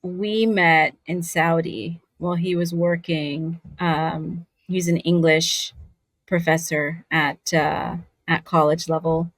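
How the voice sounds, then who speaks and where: Woman from socal, slow easy talker, lowish voice, laughs a lot, dry mic. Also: no room sound. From Southern California. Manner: relaxed, unhurried, laughs easily. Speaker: guest, voice actor. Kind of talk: casual interview chat. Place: home in Scotland. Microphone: remote video call.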